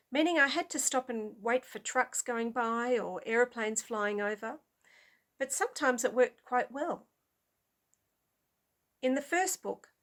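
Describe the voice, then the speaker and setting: A woman musician giving a prepared conference talk in a conversational way, explaining her work. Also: a little high. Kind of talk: prepared presentation.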